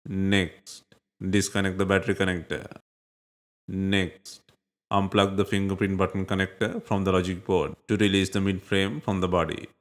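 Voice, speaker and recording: A man reading scripted step-by-step repair instructions in a measured, plainly instructive way. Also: sits low, little pitch movement. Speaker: repair tutorial narrator.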